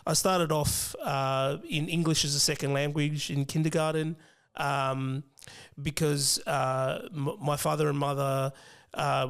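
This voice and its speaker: A young man with an Australian accent, whose first language was Fijian, talking casually as an interview guest, hesitant with many pauses and restarts. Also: fairly high pitch, fairly bright tone, clean tone. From Western Sydney, Australia. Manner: hesitant, many ums and restarts, laughs easily. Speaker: financial planner guest.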